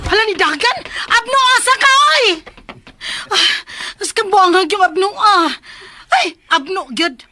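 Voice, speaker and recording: A woman's voice: extremely high pitch, lively intonation, measured pace, pushed and bright, heavily acted. An actress in a radio drama playing a chatty, exasperated female shop vendor.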